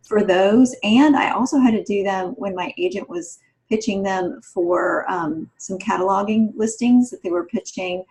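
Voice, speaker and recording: A woman with a medium-pitched voice speaks on a podcast panel. She gives practical advice in a conversational style. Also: moderate pace, a little mellow, poor audio.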